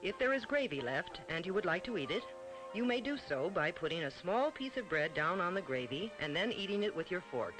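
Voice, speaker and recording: A man's voice: sits high, big pitch swings, fairly quick, rough recording. A man narrates an old instructional film in a formal, didactic way, on a vintage film soundtrack. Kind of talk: mid-century instructional film narration, scripted. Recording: old 1950s-60s film soundtrack with music.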